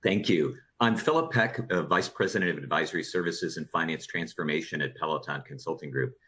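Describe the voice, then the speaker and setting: A man with a Chicago accent, an experienced consultant, introducing himself on a webinar panel in a measured, businesslike way. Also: a bass voice, lively intonation, pushed and bright, slightly rough.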